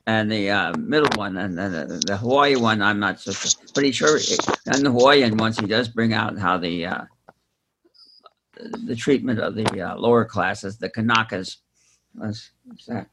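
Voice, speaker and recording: A man speaking casually and haltingly in a book discussion. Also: on the low side. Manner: halting, hesitant delivery. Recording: clear background noise with clicks.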